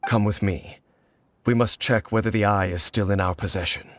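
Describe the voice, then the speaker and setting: An actor playing a grave, guarded male wanderer in a video game, speaking in a measured, solemn, formal way. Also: on the low side, steady tone, no echo, lightly acted. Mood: solemn, deliberate.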